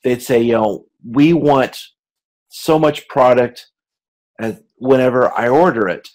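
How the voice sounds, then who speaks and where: A man with a medium-pitched voice delivers a university lecture in an explanatory, informal way. His delivery is halting, with repeated words and self-corrections as he works through each point. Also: a little mellow.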